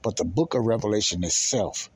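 Husky low-voiced man teaching scripture. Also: a little fast, dry recording, rough recording. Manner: emphatic, repeats phrases and poses rhetorical questions. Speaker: podcast host and Bible teacher. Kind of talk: informal Bible teaching.

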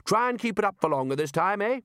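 Guy putting on a big hearty old wizard boss, loud and jolly, pitched up high, voice jumping all over. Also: expressive, fast, smooth, no echo, studio quality. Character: bluff hearty older male wizard leader.

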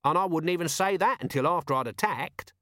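A man's voice: fairly high pitch, a little fast, fairly bright tone, no echo, studio quality, voice pushed up, close to an everyday voice. A man voicing a scruffy, naive police corporal in an audiobook, colloquial and always questioning. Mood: cheeky, blunt. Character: scruffy, artless, sceptical low-ranking male police corporal.

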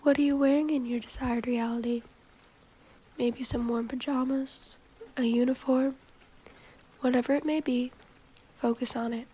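A woman guiding a meditation in a soft, breathy, partly whispery voice, giving calm, gentle instructions in short, measured phrases. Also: high-pitched, low-quality recording. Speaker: guided meditation host. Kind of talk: slow, soothing guided meditation narration.

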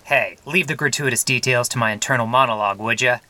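A man playing a weary, melodramatic police detective in a parody noir radio play, wordy and deadpan. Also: medium pitch, quick pace, echoey room. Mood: exasperated.

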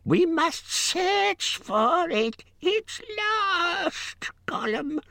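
Spiteful storybook creature, shrieking and hissing, shift+ in pitch, gravelly fry, repetitive muttering. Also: male voice, sing-song, sharp tone, no echo, full character voice. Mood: shrieking, distraught. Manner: drawn-out, repetitive, muttering to himself in odd grammar. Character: wretched, spiteful, obsessive male cave creature.

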